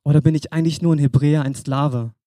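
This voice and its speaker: A man's voice: fairly high pitch, fairly even intonation, fast, mellow, no echo, studio quality. A young man from Germany preaching an informal sermon, talking conversationally and addressing the listener directly.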